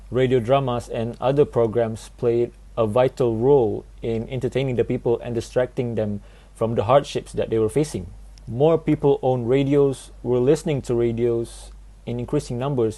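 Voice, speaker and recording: A young man delivers a student presentation in an explanatory style. His voice is soft-edged and mellow, with a mid-range male pitch. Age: 20s.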